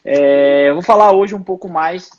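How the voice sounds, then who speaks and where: A man's voice: high voice, a little slow, dull audio. A man in his twenties, an engineer and company founder, chatting casually as a guest on a live stream, dropping lots of fillers. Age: 20s. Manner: relaxed, full of fillers like "né" and "aí". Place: home, live video call.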